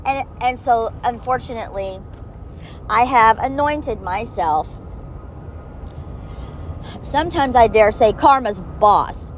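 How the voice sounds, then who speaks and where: A woman with a high-pitched voice gives a blunt, profane monologue straight to the listener, slowing down to stress key words. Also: expressive, a little hiss or hum. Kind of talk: direct-to-camera monologue.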